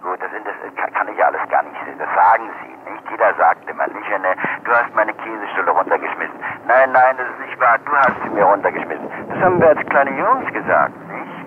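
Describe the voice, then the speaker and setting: A man's voice: fairly low pitch, animated, quick pace, few pauses. Irritable man ranting down a noisy phone line. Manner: irritable, argumentative, ranting, interrupting. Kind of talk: heated phone conversation. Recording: muffled noisy telephone line recording.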